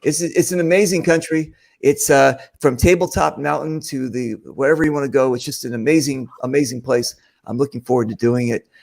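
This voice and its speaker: A man's voice: medium pitch, a little fast, vocal fry, hardly any pauses, darkish tone. A man hosting a casual podcast chat, rambling through questions and often restarting his sentences. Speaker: podcast host.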